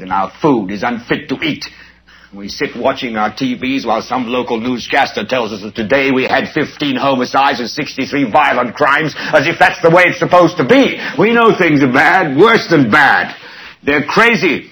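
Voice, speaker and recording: A man's voice: sits high, fairly bright tone, slightly rough, full character voice, poor audio. An actor playing a fervent, angry TV newscaster who preaches insistently at his viewers in an impassioned film monologue. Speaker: news broadcaster. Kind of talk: impassioned film monologue, ranting live broadcast.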